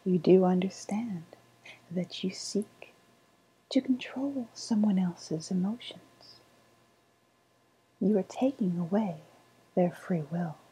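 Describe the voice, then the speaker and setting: A sly woodland witch, played by a woman, murmurs in an ASMR roleplay. Her voice is extremely breathy and often drops to a whisper, with a soft, mellow tone. She speaks very slowly and deliberately, sweet yet ominous. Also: medium pitch, low-quality recording. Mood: ominous warning. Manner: slow, deliberate, hushed, ominous. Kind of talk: softly spoken ASMR roleplay.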